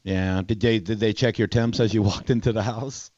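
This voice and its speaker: A man in his forties hosting a radio show, bantering casually with callers in a crude, teasing, excitable way. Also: on the low side, normal speed, no echo, lo-fi sound. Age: 40s.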